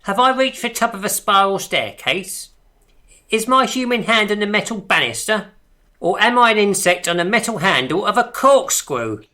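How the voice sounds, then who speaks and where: A woman's voice: medium pitch, lively intonation, moderate pace, bright, forceful tone. A woman playing the self-regarding leader of a poetry circle in a radio drama, with a pompous, arch manner. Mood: grand, coy.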